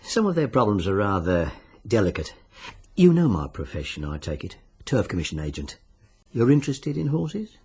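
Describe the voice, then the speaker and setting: An actor playing a shady disbarred lawyer turned betting agent in a radio drama, with a husky voice and an insinuating, wryly jovial manner. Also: male voice, fairly low pitch, sing-song, normal speed, a little mellow, exaggerated voice. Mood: sly, probing. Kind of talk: 1940s-style British radio drama acting.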